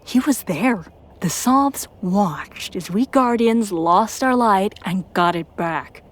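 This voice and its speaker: A woman's voice: mid-range voice, animated, slow. A woman playing a battle-hardened, torn female soldier who narrates her own story earnestly in an audio drama. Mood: brooding. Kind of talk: dramatic first-person scripted narration.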